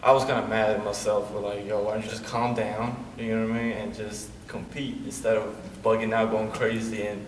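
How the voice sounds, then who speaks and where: A man's voice: lowish voice, level tone, a little fast, few pauses, a little hiss or hum. A man in his late twenties from Bosnia, a wrestler talking casually as a guest, with a laid-back manner and constant "you know what I'm saying" fillers. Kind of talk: casual interview chat. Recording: roomy echo from a distance.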